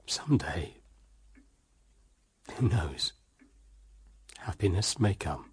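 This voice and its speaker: An actor playing a weary, forgiving husband in a radio drama, speaking in a terse, hesitant, subdued way. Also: male voice, low-pitched, animated, takes it slowly, a little hiss or hum. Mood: hushed, conciliatory.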